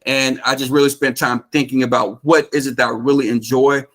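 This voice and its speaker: A man's voice: mid-range voice, level tone, fairly quick, fairly bright tone. An American man in his fifties chatting and telling stories as an interview guest, in an enthusiastic, affable manner. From Georgia, United States. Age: 50s. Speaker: interview guest, real estate investor. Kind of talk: casual conversational storytelling.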